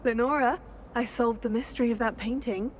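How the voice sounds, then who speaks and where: A woman's voice: a little high, steady tone, a little fast, a little hiss or hum, lightly acted. An actress playing a polite, self-assured teenage witch in a video game, speaking in a composed, understated manner. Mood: friendly, composed. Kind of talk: video game protagonist dialogue.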